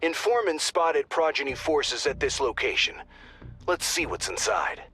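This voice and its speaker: A man's voice: a little high, animated, a little slow, bright, forceful tone, husky, exaggerated voice. A man playing an informal, earnest intel officer who gives squad updates in a video game, in a conversational way. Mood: alert. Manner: conversational, alert. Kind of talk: scripted video game radio briefing. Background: faint music.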